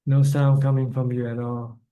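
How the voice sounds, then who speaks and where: A man's voice: mid-range voice, level tone, moderate pace, warm tone. A man answering questions as a Buddhist teacher on a video call, speaking plainly and often repeating words as he finds his phrasing. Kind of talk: online dharma Q&A.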